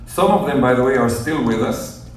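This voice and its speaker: A man, a young adult journalist, explains things to a group in an earnest, measured way. He is heard from a distance, his words washing around in strong echo. Faint noise sits underneath. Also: medium pitch, expressive. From northern Israel. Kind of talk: storytelling talk to visitors. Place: outdoors at a kibbutz near the Gaza border. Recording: very echoey, distant outdoor recording with faint noise.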